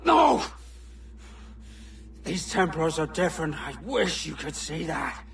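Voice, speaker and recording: An actor playing a stern older male pirate turned pirate hunter in a video game cutscene, gruff and scolding. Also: on the high side, sing-song, shouting, recorded from a distance, slight background noise, exaggerated voice. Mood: grave warning. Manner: gruff, scolding, slow and grave.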